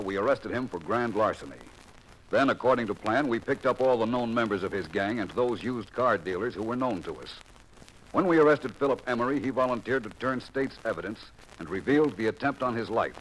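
An actor playing a matter-of-fact FBI agent with an American accent, narrating a case in an old radio crime drama, official and deadpan. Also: male voice, fairly low pitch, fairly quick, low-quality recording. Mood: sober, official. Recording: old radio recording, faint noise.

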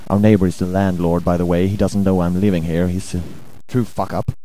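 A young Swedish man with a Swedish accent, hungover, rambling crude thoughts into a home mic in a hesitant, deadpan way. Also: sits low, normal speed, a little hiss or hum, low-quality recording. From Sweden. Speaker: amateur audio ranter. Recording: home recording with buzz and music.

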